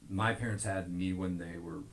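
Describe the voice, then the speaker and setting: A very low-voiced American man talks in a casual interview. He speaks earnestly and rambles along, leaning on filler phrases. The room adds a noticeable echo to his voice. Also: steady tone, moderate pace, creaky, a bit bright. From Omaha, Nebraska. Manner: rambling, earnest, frequent "you know". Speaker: podcast guest, gym owner. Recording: roomy, echoing podcast recording.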